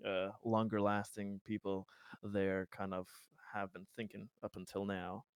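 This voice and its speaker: A man playing a tabletop roleplaying game, casually describing his character's plans in the third person, pausing mid-sentence. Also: fairly low pitch, little pitch movement, smooth, no echo. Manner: hesitant, pauses mid-sentence.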